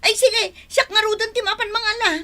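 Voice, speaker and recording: An actress playing an excitable, melodramatic village wife with an Ilocano accent in a radio drama, exclaiming in a wailing manner. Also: female voice, very high voice, a little fast. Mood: scolding, eager.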